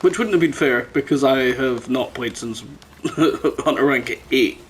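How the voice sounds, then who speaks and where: A man's voice: mid-range voice, measured pace, a little hiss or hum. A man chatting casually with friends as a podcast co-host, blunt, teasing and sweary. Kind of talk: casual gaming chat with friends.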